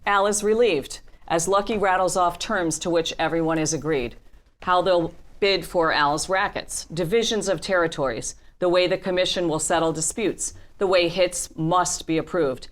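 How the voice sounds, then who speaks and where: A woman with a slightly low voice serves as narrator at a table read. She delivers scene headings and stage directions as scripted narration. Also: normal speed.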